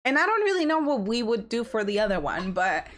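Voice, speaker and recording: A woman's voice: fairly high pitch, sing-song, brisk, a bit bright. A woman hosting a reaction channel, recapping a reality show in a sassy, chatty way. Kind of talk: casual recap commentary.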